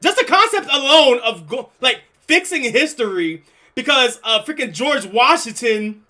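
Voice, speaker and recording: A young man streaming, reacting casually to a video, excitable and swearing freely. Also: extremely high pitch, unhurried. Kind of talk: live reaction stream chat.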